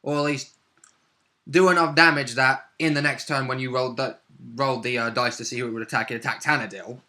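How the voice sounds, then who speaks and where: A man's voice: medium pitch, fairly even intonation, fast, sharp tone. A man casually recapping a tabletop role-playing session as one of the players.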